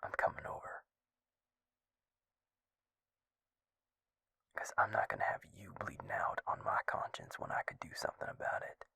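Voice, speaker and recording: A man playing a gruff, caring vampire healer in an intimate roleplay audio, speaking in a hushed, breathy, gravelly murmur, blunt but tender. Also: on the low side, huge pitch swings, quick pace, no echo, in a whisper, exaggerated voice. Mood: exasperated, insistent. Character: gruff, caring adult male vampire healer, protective and exasperated.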